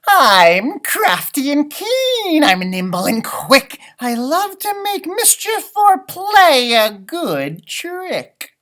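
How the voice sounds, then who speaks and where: A man's voice: extremely high pitch, wildly swooping pitch, very slow, hardly any pauses, pushed and bright, voice pushed up, heavily acted. A man voicing a sly, boastful little leprechaun in a storybook, taunting in stretched sing-song rhymes. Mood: crafty boasting.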